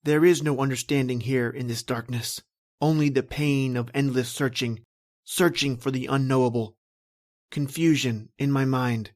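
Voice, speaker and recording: A man's voice: mid-range voice, fairly even intonation, moderate pace, lightly acted. A man playing a tormented, lost husband recounting his doom in a brooding, dramatic old-time radio horror style. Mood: haunted, despairing.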